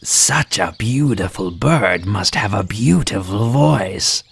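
A man voicing a sly, flattering fox in a children's fable, with a low, gravelly, husky voice and drawn-out delivery. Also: heavily acted. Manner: slow, drawn-out, smooth-talking flattery. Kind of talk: children's storybook character acting.

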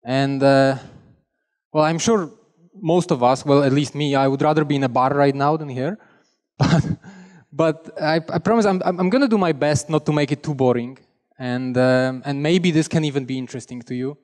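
A man's voice: on the high side, moderate pace, a little mellow, long reverb tail. A young man from Poland with a Polish accent, giving a chatty, self-deprecating technical talk on a conference stage. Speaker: conference speaker. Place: large conference hall stage. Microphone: headset mic.

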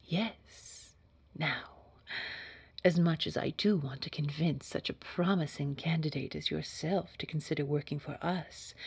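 A woman playing a secretive corporate boss in an audio drama, interviewing in a measured, formal, insinuating way with a breathy, whispery voice. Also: sits low, a little mellow, full character voice. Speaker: job interviewer. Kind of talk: scripted audio drama dialogue.

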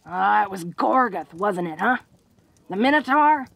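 Woman acting a slow-talking, gravelly, awkward tough orc girl. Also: mid-range voice, animated, fairly bright tone, exaggerated voice. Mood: worried, protective. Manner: folksy, halting tough-girl talk with small chuckles. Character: tough, confident, secretly awkward, affectionate young orc woman. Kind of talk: intimate roleplay audio drama.

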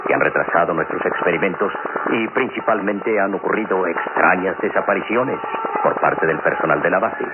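Man acting a deep-voiced, grave military general. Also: close to an everyday voice. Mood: grave, worried. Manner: formal, deliberate, authoritative. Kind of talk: old radio serial acting. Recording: old radio recording, loud hum, muffled.

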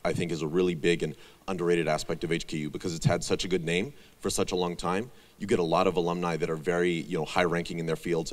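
A young man student from Bulgaria giving a fluent, confident presentation talk into a handheld mic. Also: low voice. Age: young adult. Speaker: student speaker. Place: large hall. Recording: echoey hall sound.

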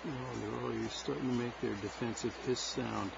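A man's voice: medium pitch, fairly even intonation, moderate pace, vocal fry. A man talking casually to himself while fixing a wildlife camera at an outdoor nest box, thinking aloud with many fillers. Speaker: wildlife cam caretaker. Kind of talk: casual running commentary while working. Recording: muffled lo-fi nest cam audio with noise.

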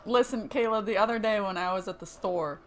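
A young woman with a low-pitched voice chatting casually while streaming a game, rambling with many restarts. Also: brisk, a little hiss or hum. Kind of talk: casual gaming chat.

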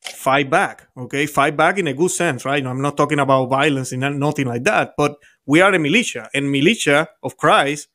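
A man's voice: a little high, fairly bright tone. A man in his forties, a native Spanish speaker, hosting an informal interview and often checking for agreement as he goes. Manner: informal, halting, seeks agreement with tag questions. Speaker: host, interviewer. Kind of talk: conversational interviewing. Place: home office, indoors, video call. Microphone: desk microphone up close.

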